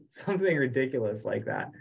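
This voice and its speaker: A man's voice: medium pitch, expressive, normal speed, creaky, a little mellow, husky. Man chatting casually on a conference call. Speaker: group call organizer. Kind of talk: casual meeting chat. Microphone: online conference call.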